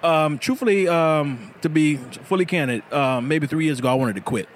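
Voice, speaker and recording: A man's voice: on the high side, normal speed, slight background noise. A man who makes knives, talking casually as an interview guest and laughing often. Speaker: knife maker guest.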